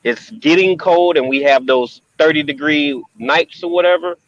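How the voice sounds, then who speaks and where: A man's voice: a little high, moderate pace, dry recording. Hesitant man on a dull dry call line. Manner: hesitant, many ums and restarts. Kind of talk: casual video call chat.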